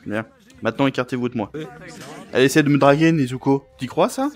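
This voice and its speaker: A man's voice: mid-range voice, quick pace. A man improvising playful roleplay banter as a streamer, theatrical and joking, prone to sudden outbursts.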